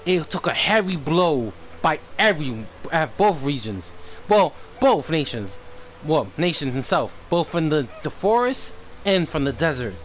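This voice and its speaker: Higher-pitched male streamer, slow and halting. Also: sing-song. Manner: halting, stumbles over names and repeats words. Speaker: game streamer. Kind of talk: casual livestream commentary. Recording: slight background noise on stream.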